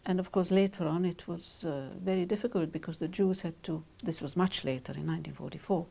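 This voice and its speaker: An older woman with a low, gravelly voice and a mellow, dark tone. She speaks in measured, reflective sentences and pauses often. Her first language is Hungarian, and she is being interviewed about her childhood. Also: steady tone. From Romania. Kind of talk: oral history interview.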